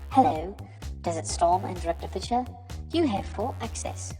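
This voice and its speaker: A woman playing a calm AI assistant in an audio drama, giving measured, formal announcements. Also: mid-range voice, faint music behind, natural voice. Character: calm female AI assistant named Hermes.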